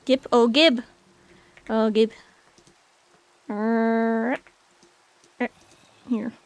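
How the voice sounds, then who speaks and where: A woman's voice: on the high side, fairly even intonation, extremely slow, smooth. A woman in her late twenties chatting casually with viewers on a game stream, rambling with many fillers. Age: 20s. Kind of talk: casual chat with chat while gaming.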